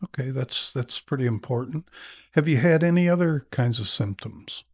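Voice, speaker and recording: Man playing a measured neurologist, dry sound. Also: medium pitch, lively intonation, a little mellow, husky, no room sound. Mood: attentive, sympathetic. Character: calm, attentive male neurologist in consultation. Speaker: narrator and actor in a listening exam practice. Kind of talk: acted medical consultation for exam practice.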